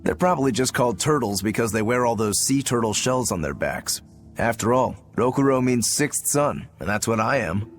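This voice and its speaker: A man's voice: mid-range voice, lively intonation, normal speed, lightly acted. A man playing an easygoing, wry young male demon swordsman in a video game, casual and curious. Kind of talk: video game cutscene acting.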